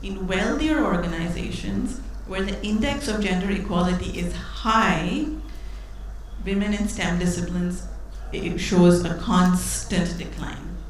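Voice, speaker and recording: A woman giving an academic conference talk, measured and thoughtful, often restarting with fillers. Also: fairly low pitch, slight background noise. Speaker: conference panelist. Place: large conference hall. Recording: roomy hall, speaker far from mic.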